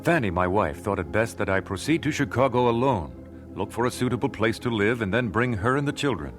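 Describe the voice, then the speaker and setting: A man's voice: on the low side, expressive, brisk, over music, close to an everyday voice. An actor playing an earnest, devout 19th-century family man, reflective, in a radio drama. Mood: resolved, narrating. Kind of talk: radio drama narration and dialogue.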